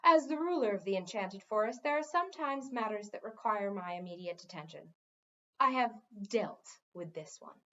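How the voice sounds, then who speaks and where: A woman's voice: medium pitch, expressive, moderate pace, fairly bright tone, dull audio, close to an everyday voice. A woman voicing a young forest king in a children's fantasy story, curt and dignified with some irritation. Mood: dignified, offhand. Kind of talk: children's fantasy audiobook reading.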